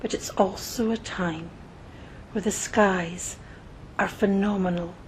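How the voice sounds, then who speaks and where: A woman narrating a scripted guided meditation in a soothing, measured manner, pausing between short phrases, with soft breathy phrase endings. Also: fairly even intonation, poor audio. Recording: noticeable low rumbling background noise.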